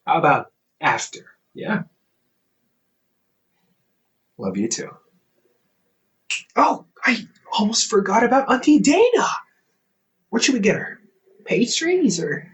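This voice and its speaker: A man playing a teasing, reassuring older brother, a scholar in his thirties, in an intimate, casual audio roleplay with halting, conversational delivery. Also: fairly high pitch, voice pushed up, rough recording. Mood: affectionate, upbeat. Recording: hobby microphone with faint background noise.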